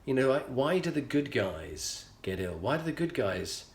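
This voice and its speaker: A middle-aged man with a Yorkshire accent, telling a personal story as a show host in an earnest, measured way with frequent pauses. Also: medium pitch, very animated. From England. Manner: earnest, measured, pausing often. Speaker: leadership coach and show host. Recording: echoey room.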